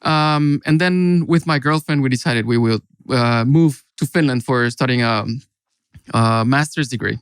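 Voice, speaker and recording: A young man from Mexico talks as a podcast guest in a smooth, resonant voice. He is easygoing and dry on the mic. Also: mid-range voice, measured pace, no echo. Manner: easygoing, with fillers. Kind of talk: casual chat.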